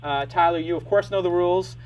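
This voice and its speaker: High-voiced fast-joking male show host. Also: a little mellow, muffled recording. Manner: brisk, joking, talks fast in bursts. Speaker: podcast host. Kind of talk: live startup show hosting.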